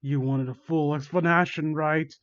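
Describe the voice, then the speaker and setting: A man voicing an evil demonic male creature in a deep, chilling, hushed voice, slow, taunting and menacing, for a fan fiction reading. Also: fairly even intonation, no echo, lo-fi sound, close to an everyday voice. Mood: smug menace.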